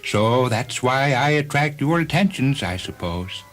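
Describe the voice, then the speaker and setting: A man's voice: mid-range voice, animated, unhurried, soft background music, pitched above the performer's own voice, natural voice. A man voicing a sour, self-pitying show director, formal, wordy and aggrieved, in a dramatized story reading. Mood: gloomy, resigned.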